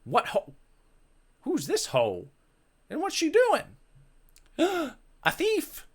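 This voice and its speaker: A man's voice: very high voice, wildly swooping pitch, extremely slow, pitched above the performer's own voice, heavily acted. A man voicing a mischievous, vain, lecherous male ghost in a theatrical, exclamatory way for a visual novel. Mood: outraged, blustering.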